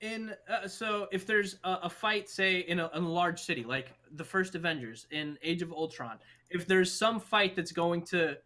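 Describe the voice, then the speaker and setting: A man's voice: high-pitched, moderate pace, few pauses, bright, forceful tone. A man in a casual, heated panel debate, insistent and argumentative as he makes his case. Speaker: discussion show panelist.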